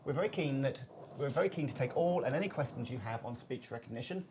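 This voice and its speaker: A man's voice: mid-range voice, lively intonation, quick pace, a little mellow, slight background noise. A man hosting a business web seminar, presenting from a prepared script.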